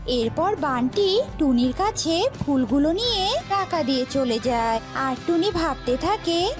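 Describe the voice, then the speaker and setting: A woman's voice: sits high, expressive, measured pace, music in the background. A woman reads scripted narration for a children's cartoon story.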